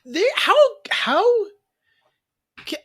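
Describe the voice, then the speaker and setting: A woman's voice: very slow, no room sound, clean studio sound. A woman co-hosting a podcast, chatting casually about an actor and mimicking other people's reactions. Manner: expressive, mimics people mid-sentence. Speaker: podcast co-host. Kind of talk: casual chat.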